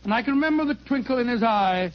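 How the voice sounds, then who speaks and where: An avuncular older English gentleman with a notably high voice. He tells his story fussily, doubling back on phrases with little hesitations. It is old radio acting, caught on a dull 1940s recording. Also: lively intonation, fast. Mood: fond reminiscence.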